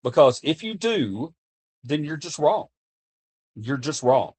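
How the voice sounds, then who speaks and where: A man's voice: a little high, big pitch swings, slow pace, darkish tone, breathy edge. An American man streaming live and chatting to his viewers in a casual, impassioned way, often restarting and repeating himself. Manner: earnest, impassioned, repeats himself while speaking off the cuff. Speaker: livestreamer talking to chat. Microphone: streaming desk mic.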